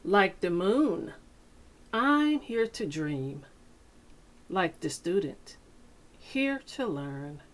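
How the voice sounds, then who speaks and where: A woman reading a picture book aloud to children like a teacher, with deliberate, dramatic phrasing and pauses. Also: fairly low pitch, big pitch swings. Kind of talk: expressive children's read-aloud.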